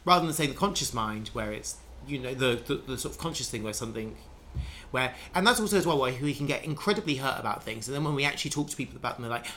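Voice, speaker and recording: A man's voice: medium pitch, expressive, quick pace, bright, forceful tone, slight background noise. A man in casual conversation, musing at length with many restarts and fillers. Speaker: conversation partner.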